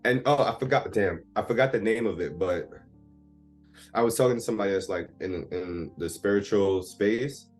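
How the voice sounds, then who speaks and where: A man's voice: fairly low pitch, moderate pace, faint music behind. A man in his thirties from Jamaica, talking candidly and confidently about himself in a casual therapy conversation. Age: 30s. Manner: candid, self-assured, open. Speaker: therapy client guest.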